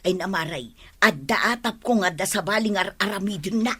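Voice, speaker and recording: A woman's voice: mid-range voice, fairly quick, pushed and bright, breathy edge. A woman playing a suspicious, nagging wife who keeps questioning insistently in an Ilocano comedy drama. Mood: worried, suspicious. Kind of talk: Ilocano radio-style comedy drama acting.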